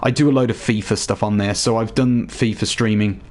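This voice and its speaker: A man with a slightly hoarse voice chatting casually to viewers while walking them through a game showcase. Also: lowish voice, fairly even intonation, fairly quick, a little mellow. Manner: chatty, addresses viewers directly. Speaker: gaming YouTuber. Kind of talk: casual game tutorial voice-over.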